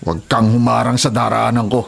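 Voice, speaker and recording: A man's voice: mid-range voice, flat delivery, fairly quick, gravelly. A man playing a hot-tempered, threatening illegal logger in a Filipino radio drama, with a Filipino accent and a confrontational manner. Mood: defiant. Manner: menacing, confrontational.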